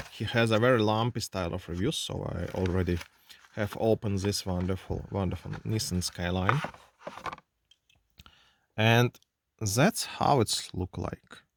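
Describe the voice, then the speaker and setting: A man with a Russian accent reviewing a toy car at his desk, speaking halting English full of fillers and restarts. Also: on the low side, background noise. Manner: halting non-native English with many fillers. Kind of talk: hands-on unboxing review. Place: indoors at a desk.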